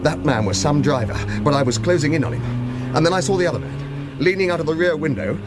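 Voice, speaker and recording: A man's voice: on the high side, expressive, brisk, over loud music, lightly acted. An actor playing a wry hard-boiled hired gunman, narrating his own radio thriller in clipped phrases. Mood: tense. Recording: noisy car sound effects behind.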